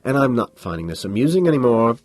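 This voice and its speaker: A man's voice: medium pitch, big pitch swings, fast, a little mellow, no room sound, voice pushed up. A man voicing a condescending smug businessman. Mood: dismissive. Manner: condescending, brushing off. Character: pompous dismissive male businessman.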